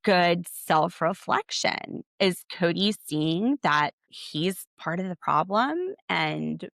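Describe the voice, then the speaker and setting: A woman recapping a show on her podcast, speaking with a lowish, gravelly voice full of vocal fry. Her tone is blunt and opinionated, with sarcastic jabs. The sound is dry and close, with no room around it. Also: expressive, slow, fairly bright tone, dry recording. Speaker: podcast host. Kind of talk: solo TV recap commentary.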